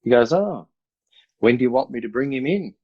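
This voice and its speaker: A man in his fifties with an Australian accent, an athletics coach telling stories in a relaxed, rambling way over a video call. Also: mid-range voice, normal speed, breathy edge, no room sound, lo-fi sound. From country New South Wales, Australia. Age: 50s. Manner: relaxed, rambling, many uh fillers. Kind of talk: casual remote interview storytelling.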